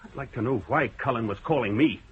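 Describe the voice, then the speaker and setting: A husky, touchy bookie. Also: male voice, mid-range voice, fairly quick, fairly bright tone. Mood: blustery. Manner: blustery, easily offended. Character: touchy middle-aged male bookie. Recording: dull old radio broadcast.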